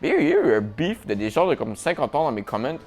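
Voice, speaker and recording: A man's voice: fairly high pitch, very animated, very fast, a little mellow. A young man with a Quebec French accent, hosting a casual chat and telling a story, slipping English slang into his French. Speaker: podcast host.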